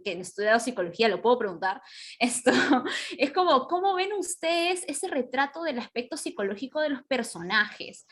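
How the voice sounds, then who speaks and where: A woman's voice: fairly high pitch, fairly bright tone. A woman moderating a casual panel discussion, forming her questions tentatively and repeating words as she goes.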